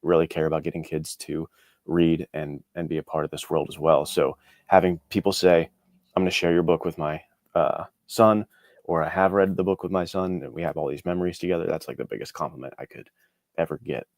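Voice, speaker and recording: A man's voice: low-pitched, steady tone, brisk, darkish tone, no echo, studio quality. A man speaking earnestly and encouragingly as an interview guest, giving reflective answers in a casual, conversational way. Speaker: interview guest, indie author.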